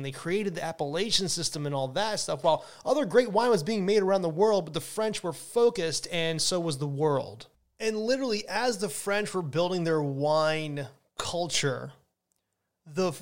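A man hosting a podcast, talking casually and enthusiastically about wine, often restarting his sentences. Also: on the high side. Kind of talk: casual educational monologue.

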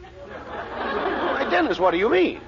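High, wildly swooping blustery theater producer. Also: male voice, big pitch swings, slow, a bit bright, a faint crowd, exaggerated voice. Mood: indignant. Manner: flustered, sputtering, effusive. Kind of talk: 1940s radio comedy acting. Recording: muffled, hissy 1940s radio recording.

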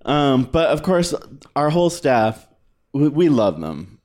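A man from Northern California co-hosting a podcast, joking in a dry, deadpan way. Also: mid-range voice, slow. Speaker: podcast co-host. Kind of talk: casual podcast chat.

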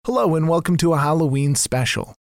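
A man's voice: a little high, expressive, a little fast, vocal fry. A man hosting a special, reading a scripted introduction as its narrator.

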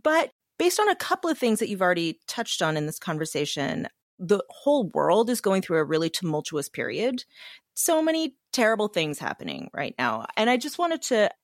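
A woman in her forties hosting a podcast interview, telling stories in an animated, engaged way. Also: lowish voice, normal speed, dry recording, studio quality. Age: 40s. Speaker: podcast host. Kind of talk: conversational interview.

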